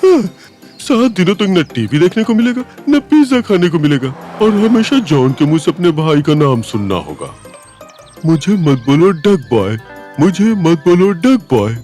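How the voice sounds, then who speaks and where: A food-loving cartoon tomcat, sardonic and grumbling. The pitch moves in lively swings, with faint music underneath. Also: male voice, normal speed. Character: lazy, food-loving, sarcastic male cartoon cat. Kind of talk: animated cartoon dub.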